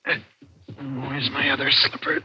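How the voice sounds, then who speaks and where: An actor playing a practical, protective young husband in a 1940s radio suspense drama, speaking in urgent, hesitant questions and short orders. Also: male voice, medium pitch, huge pitch swings, normal speed. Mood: hushed urgency. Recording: dull vintage 1940s radio, faint hiss.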